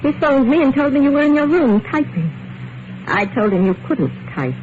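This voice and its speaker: An actress playing an eerily calm, wounded and quietly vengeful wife in an old radio thriller, measured and wistful. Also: female voice, sits high. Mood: wounded, reproachful. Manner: measured, wistful, dramatic pauses. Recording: muffled, very noisy old radio recording.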